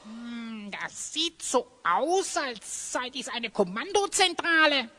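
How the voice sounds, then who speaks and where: A woman's voice: a little high, very animated, takes it slowly, sharp tone, exaggerated voice. A woman voicing a small, cautious, inquisitive sidekick creature in an audio drama, hesitant and a little anxious. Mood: curious.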